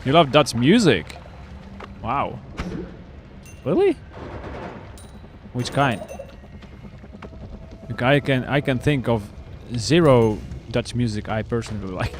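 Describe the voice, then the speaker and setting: A man's voice: medium pitch, expressive, slow, long pauses, slight background noise. A man streaming a video game live, chatting casually and laughing as he answers his chat. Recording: clear game music under the voice. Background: game music.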